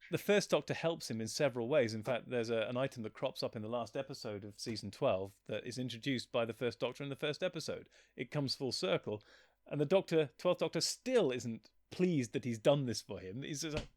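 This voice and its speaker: Man, medium pitch, opinionated guest chat, animated griping, swearing, dry recording. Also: fairly quick, dry recording. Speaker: guest, fan-fiction author.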